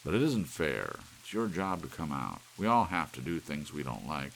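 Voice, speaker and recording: Man reading as bitter angry husband, audiobook character, demanding, taunting, emphatic, lively swings, rain behind. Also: a bass voice, moderate pace, creaky, exaggerated voice, low-quality recording. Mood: angry, insistent. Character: bitter, menacing husband talking angrily to his clock. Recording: steady rain and hiss behind.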